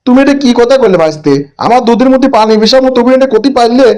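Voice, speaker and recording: A shifty older cartoon milkman, acted by a man. His voice is high and hurried. He sounds indignant and insistent as he sells. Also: lively intonation, darkish tone. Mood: defensive, wheedling. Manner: indignant, insistent sales patter. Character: defensive older male milkman, slyly dishonest. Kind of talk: comic cartoon dialogue.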